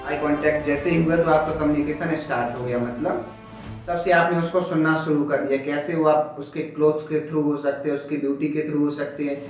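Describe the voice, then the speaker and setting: A man with an Indian accent teaching in an explanatory, motivational lecture style, slowing down deliberately on English phrases. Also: a little high, muffled recording. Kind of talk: motivational lecture on communication skills. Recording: very echoey room, music behind.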